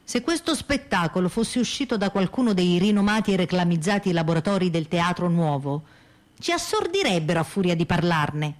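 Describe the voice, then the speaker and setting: An actress playing a theatrical woman in a scripted radio play, declaiming memories and farewells in a measured way. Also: lowish voice, animated, natural voice. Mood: solemn recital.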